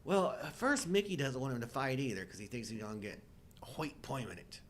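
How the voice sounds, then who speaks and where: A man's voice: big pitch swings, fairly quick. A middle-aged man co-hosting a live show, chatting casually about movies with wry, jokey exaggeration. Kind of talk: casual humorous movie-discussion chat. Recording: noticeable low rumbling background noise.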